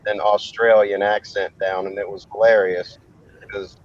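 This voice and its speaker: A man hosting a casual chat, relaxed and amused as he recalls stories. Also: fairly low pitch, moderate pace, muffled recording, slight background noise.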